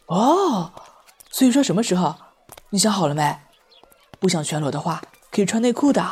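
A man's voice: high voice, sing-song, normal speed, darkish tone, slight background noise. A man playing a guileless, blunt, socially oblivious teenage boy artist in an amateur audio drama. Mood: matter-of-fact.

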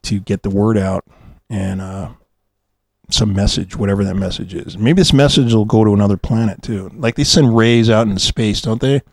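A man's voice: on the low side, no echo. Rambling man chatting casually, close dry sound. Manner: hesitant, meandering, jokey. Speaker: podcast co-host. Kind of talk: casual rambling after-show banter.

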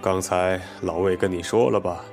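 A man acts out a mature, corrupt mayor in a radio play. His voice sits low and he speaks with slow, insinuating control, as one used to getting his way. Faint music plays underneath. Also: fairly even intonation, darkish tone. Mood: smug, coercive. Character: predatory mayor, mature man, coldly propositioning a young woman. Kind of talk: Chinese audio drama acting.

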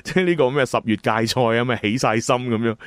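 A man with a Cantonese accent, hosting and narrating a radio drama in an expressive, conversational way. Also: medium pitch, quick pace, clean tone. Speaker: radio host and storyteller. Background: music.

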